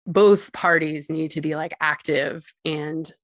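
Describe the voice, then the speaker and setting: A woman's voice: deep voice, fairly even intonation, slow pace, no echo, studio quality. A woman speaking as an expert guest in a podcast interview, explaining ideas in a thoughtful, articulate and conversational way, often saying "like". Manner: thoughtful, articulate, peppered with "like" and small chuckles. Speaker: interview guest, tech company CEO and co-founder.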